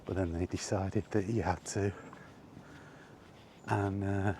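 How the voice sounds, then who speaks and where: A man's voice: sits low, steady tone, slow, a little mellow. A British man rambling casually as he walks outdoors, hesitant and mildly grumbling, with outdoor noise around him. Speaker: vlogger. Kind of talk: rambling casual monologue while out walking. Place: outdoors, walking in a park. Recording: noisy outdoor ambience.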